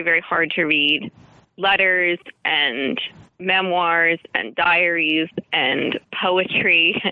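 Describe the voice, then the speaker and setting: A woman's voice: fairly low pitch, bright, forceful tone, dry recording, low-quality recording. A woman speaking earnestly and articulately as a guest author in an interview, with emphatic phrasing. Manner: earnest, articulate, emphatic, frequent light chuckles. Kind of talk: interview about writing historical fiction.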